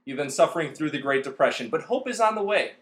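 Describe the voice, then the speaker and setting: A male host delivers scripted narration like an announcer. His voice sits slightly high, and the room adds clear echo. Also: lively intonation, quick pace, fairly bright tone. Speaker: podcast host.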